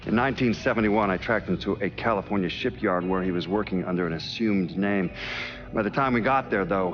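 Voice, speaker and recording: A man playing a dogged, bitter older federal agent, giving dry, deliberate interview testimony in a video game drama. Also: low voice. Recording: dull lo-fi sound with clear music behind.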